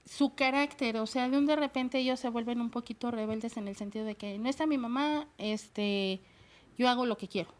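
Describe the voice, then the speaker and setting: A middle-aged woman speaks in a fairly high voice as a talk show guest. She talks in a hesitant, halting way, restarting her sentences. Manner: hesitant, many fillers and restarts. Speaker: guest, bilingual secretary. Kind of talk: personal testimony on a talk show.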